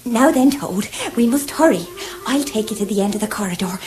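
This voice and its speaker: A woman playing a cheeky, bossy young woman in a radio play, brisk and teasing. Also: mid-range voice, expressive, darkish tone, close to an everyday voice.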